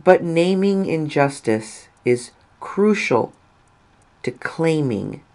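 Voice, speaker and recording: Female narrator for a read-aloud article: slow, measured delivery, deliberate pausing between phrases. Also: low-pitched, expressive, darkish tone. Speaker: reader of an article.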